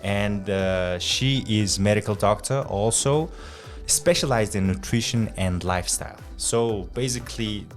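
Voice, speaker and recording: A man's voice: lowish voice, measured pace, faint music behind. A young man hosting a casual podcast interview, chatty and friendly with loose English phrasing. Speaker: podcast host.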